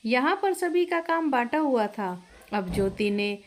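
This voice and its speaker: A woman's voice: a little high, animated, a little slow. A woman reading a scripted family story as its narrator.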